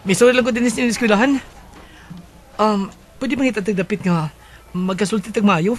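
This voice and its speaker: A man's voice: sits high, moderate pace, lightly acted. A man with a Filipino Cebuano accent playing an earnest, tender teenage suitor in a radio drama. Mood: friendly, hesitant. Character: Minggoy, earnest lovestruck teenage schoolboy suitor. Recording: music behind the voice.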